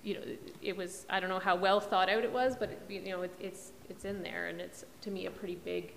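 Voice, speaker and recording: A woman's voice: fairly low pitch, steady tone, fairly quick, creaky. A woman on a planning commission weighing a variance, hesitant and thinking aloud. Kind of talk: formal meeting commentary. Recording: reverberant meeting room, faint hiss.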